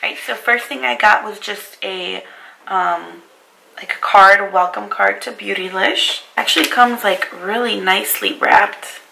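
Hoarse, raspy-voiced young woman vlogger. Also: mid-range voice, measured pace, background noise. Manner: chatty, apologetic about her voice. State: hoarse from a cold. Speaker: beauty vlogger. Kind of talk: casual makeup review. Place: bedroom vanity, indoors.

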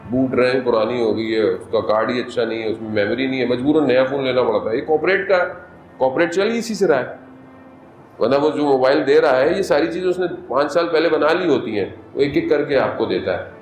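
Male cleric, mid-pitched, conversational sermon that climbs into passion; reverberant hall, music bed. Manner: conversational preaching that rises to impassioned, high-pitched appeals. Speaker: Shia cleric. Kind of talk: majlis sermon. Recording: echoey hall with music underneath.

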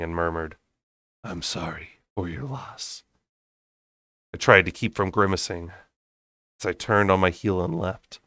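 A young man narrating a fantasy story aloud with expressive, slightly unrehearsed delivery. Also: low-pitched, clean tone, dry recording, clean studio sound. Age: young adult. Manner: unrehearsed, expressive, occasional stumbles. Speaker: amateur audiobook reader. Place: small bedroom, indoors.

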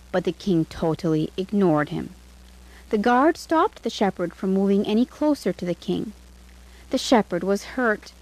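A woman narrating a scripted fairytale for children in an expressive, dramatic way. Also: mid-range voice, rough recording. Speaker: storyteller.

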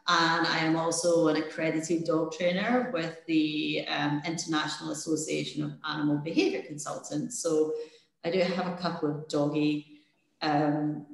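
Woman on an echoey video call. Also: sits low. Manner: friendly, hesitant with frequent fillers. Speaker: dog training instructor. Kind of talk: leading a volunteer training call. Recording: very echoey room.

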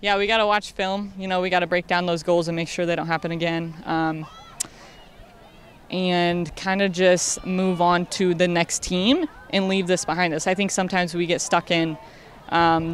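A woman's voice: fairly low pitch, slight background noise. A young woman midfielder speaking candidly in a post-game interview, outdoors at a stadium at night into a handheld mic. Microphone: handheld mic held at chin.

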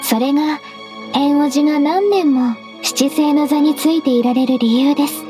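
An actress plays a gentle secretary from an anime-style game. Her voice is very high and soft. She is measured, formal and politely firm, over clearly audible background music. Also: natural voice. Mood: gentle explaining. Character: gentle, composed half-beast female secretary, politely firm.

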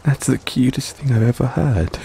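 A man's voice: mid-range voice, creaky, lightly acted, poor audio. A man playing an affectionate, teasing middle-aged father doting on his younger boyfriend in an intimate audio roleplay, speaking playfully and fondly to the listener. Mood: fond amusement. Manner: playful, fond, chuckling between unhurried phrases. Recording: steady rain noise in background. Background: rain falling.

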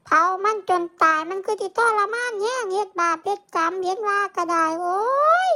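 A man's voice: very high voice, fairly even intonation, moderate pace, creaky, background noise, pitched above the performer's own voice. A man voicing a doting, exasperated old village grandmother with an Isan accent, exclaiming and scolding in a folktale. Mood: exasperated wailing. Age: older. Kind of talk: folktale character voice.

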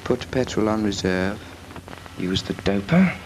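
An actor playing a cocky young trainee pilot who slowly and cheekily rattles off his cockpit drill in a 1940s British film. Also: lowish voice, animated. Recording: noisy 1940s film soundtrack.

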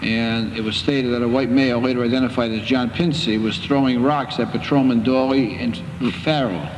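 An older man presiding as a judge in a courtroom, speaking in a measured, stern, lecturing way. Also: mid-range voice, steady tone. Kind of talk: courtroom proceedings. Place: municipal courtroom. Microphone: bench microphone. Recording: reverberant courtroom, background noise.

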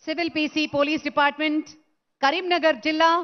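A woman's voice: high voice, level tone. Woman announcing names at a ceremony. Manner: slow, measured name-calling into a PA. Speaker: event announcer. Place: large stadium ceremony. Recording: dull lo-fi broadcast sound.

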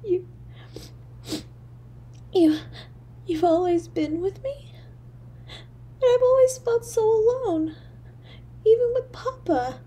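A woman voicing a poor, lonely little girl in an audio drama, halting and breathy, with childlike stammering. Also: extremely high pitch, lively intonation, dark tone, full character voice. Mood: overwhelmed, tearful. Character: poor, lonely, freezing little match girl, timid and yearning. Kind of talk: solo audio drama monologue.

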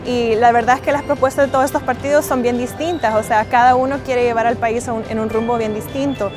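A woman's voice: on the high side, steady tone, fast. A woman in her twenties from El Salvador, with a Salvadoran accent, giving an earnest, informal testimonial to camera. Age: 20s. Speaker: interviewee, emigrant voter. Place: outdoors, covered airport walkway. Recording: music under the voice. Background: music.

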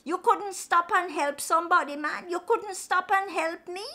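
A woman's voice: very high-pitched, normal speed, sharp tone, voice pushed up. A woman voicing a tricky, dangerous male snake in a folktale, wheedling and drawn out. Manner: wheedling and reproachful, then offhand.

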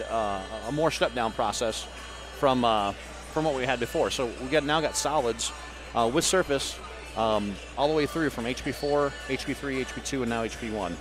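A man in casual booth chat, talking in a rambling, upbeat way with many fillers, on a headset mic. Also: medium pitch. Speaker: guest company rep. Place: busy trade show hall.

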